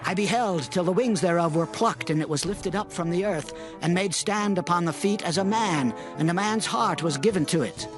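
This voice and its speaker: An actor playing a devout, steadfast prophet in a dramatized scripture reading, with a reverent, declamatory delivery. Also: male voice, high-pitched, moderate pace, over music, lightly acted. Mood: awed.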